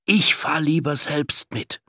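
Children's audiobook: plucky young boy, read by a man, raised pitch, urgent and conspiratorial. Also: takes it slowly, dry recording, studio quality, full character voice. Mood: determined. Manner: hushed but urgent. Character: brave, determined young boy, urgently whispering his plans.

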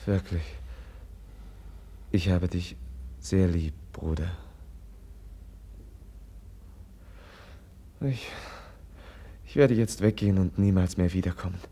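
An actor playing a gentle, compassionate prince in a radio drama, speaking earnestly and haltingly as he pleads with his rival. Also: on the low side. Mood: tender, saddened. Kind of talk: classic German radio drama acting.

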